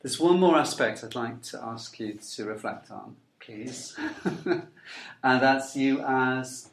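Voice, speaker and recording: A man's voice: mid-range voice, slow pace, creaky, reverberant. A man interviewing a storyteller, asking polite, encouraging questions.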